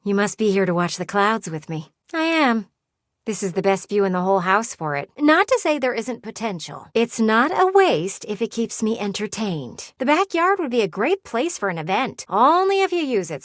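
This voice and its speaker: A woman's voice: a little high, dry recording, studio quality. A woman voicing a video game character, a talking house window who is bossy and theatrical, sweetly condescending and teasing. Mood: dreamy, inviting, then gently needling.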